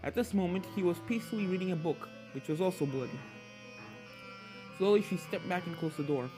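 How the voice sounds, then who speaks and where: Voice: medium pitch, brisk, over music. A narrator reading a scripted fan fiction story aloud.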